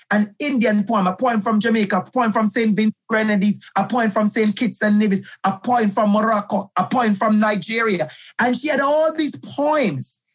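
Husky-voiced passionate male educator. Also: high voice. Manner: passionate, emphatic, repeats key phrases. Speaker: guest, education professor. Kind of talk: conversational podcast interview.

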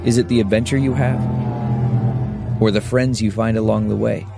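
A man's voice: on the low side, fairly even intonation, moderate pace, vocal fry. A man reading a scripted advertisement voice-over in an earnest, reflective way. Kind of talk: scripted ad narration. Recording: ad voice-over with music bed.

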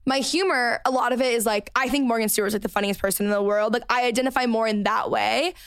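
A woman's voice: on the high side, fairly even intonation, fast talker, clear, resonant tone, no room sound, studio quality. Upbeat young woman with Valley Girl lilt. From Texas. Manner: upbeat Valley Girl speech with many "like" fillers. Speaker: podcast host. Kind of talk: casual chat.

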